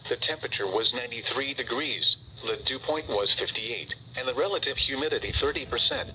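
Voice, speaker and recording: A muffled radio broadcast carries a man's mid-pitched voice. He reads a scripted weather report as an announcer, with the dull, limited sound of a weather radio. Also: fairly quick, soft background music.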